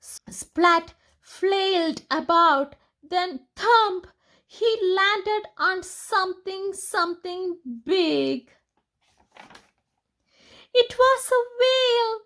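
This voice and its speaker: A woman reading picture books aloud to young children in an expressive, deliberate storytelling manner. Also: very high voice.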